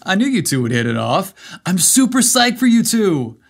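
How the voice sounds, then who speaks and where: A man's voice: high-pitched, big pitch swings, normal speed, gravelly, voice pushed up, full character voice. A man playing an affectionate, mischievous bro-type groom. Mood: excited. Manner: casual dude talk.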